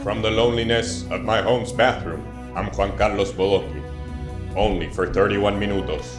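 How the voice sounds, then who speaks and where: A man voicing a dry, blunt puppet TV reporter in a formal, deadpan mock-news style. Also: lowish voice, normal speed, over music, natural voice. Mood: solemn, blunt.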